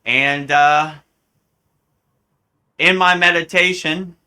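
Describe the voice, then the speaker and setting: A man from the United States, a spiritual teacher telling a story in a live Q&A, animated and often acting out the voices he quotes. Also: on the high side, extremely slow, clean tone. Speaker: spiritual teacher answering questions.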